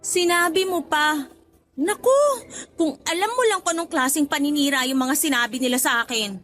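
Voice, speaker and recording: A woman playing a bubbly, talkative, friendly young office coworker in a Tagalog radio drama, playful and expressive. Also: sits high, normal speed. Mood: aggrieved.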